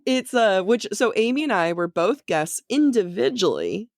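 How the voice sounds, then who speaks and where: A woman's voice: medium pitch, lively intonation, moderate pace, darkish tone, no room sound, clean studio sound. A woman podcast host who moves between scripted introductions and casual chat.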